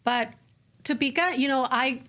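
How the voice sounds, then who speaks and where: A woman's voice: high-pitched, measured pace. A woman from Colombia, a native Spanish speaker, with a Colombian accent, chatting as an interview guest and acting out quoted voices. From Colombia (Cali). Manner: animated, restarts and acts out quoted voices. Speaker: guest, educator. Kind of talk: casual interview chat.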